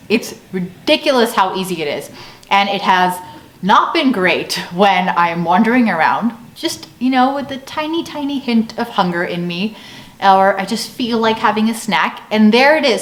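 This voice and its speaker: A woman with an American accent chatting expressively in a casual explanatory vlog. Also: mid-range voice. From United States. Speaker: video creator. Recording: roomy echo, faint background noise.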